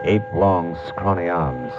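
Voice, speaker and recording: An actor playing a wry, cynical private detective, narrating in terse 1950s radio noir style. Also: male voice, low voice, a little slow, loud music behind the voice. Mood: wry disgust. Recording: dull 1950s radio recording.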